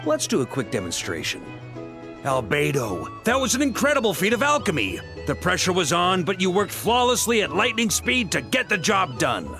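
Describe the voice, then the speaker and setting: A man's voice: high-pitched, huge pitch swings, normal speed, music in the background, full character voice. A man voicing a jovial, caring grandmaster in a video game, with a hearty, playful manner.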